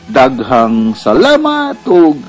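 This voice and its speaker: A man's voice: medium pitch, wildly swooping pitch, slow pace, heavy background noise. A man with a Bisaya Filipino accent hosting a radio advice program, explaining things like a doctor. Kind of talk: advice talk with sign-off.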